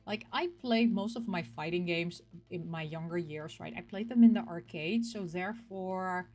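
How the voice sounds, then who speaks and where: A middle-aged woman chatting casually as a game collecting show host, rambling and animated, often questioning herself aloud. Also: on the low side, moderate pace. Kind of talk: casual rambling vlog chat about game pick-ups.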